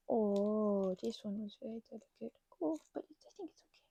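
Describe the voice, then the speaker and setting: A woman hosting an ASMR channel who drifts between whispers and soft, self-mocking chatter while she crafts. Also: a little high, lively intonation, moderate pace, vocal fry, husky, dry recording. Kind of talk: soft-spoken crafting ramble.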